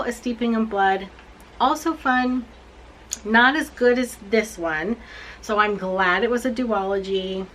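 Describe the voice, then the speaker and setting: A woman in her forties recapping books she has read to camera, blunt, animated and self-mocking. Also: medium pitch, slight background noise, low-quality recording. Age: 40s. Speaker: book reviewer. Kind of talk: casual book recap to camera.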